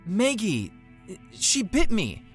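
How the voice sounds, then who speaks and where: An injured, anxious hotel worker played by an actor in an audio drama. The delivery is halting and pleading, with lively pitch over faint music. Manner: hesitant, stammering.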